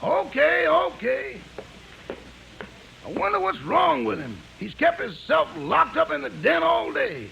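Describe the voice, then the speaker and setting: A man playing a devoted, cheeky household servant with a raspy voice, wisecracking emphatically in a 1950s radio comedy. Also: extremely high pitch, very animated, a little slow, sharp tone, highs cut off, exaggerated voice. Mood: concerned, wisecracking. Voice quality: gravelly, raspy. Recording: very noisy 1950s radio recording.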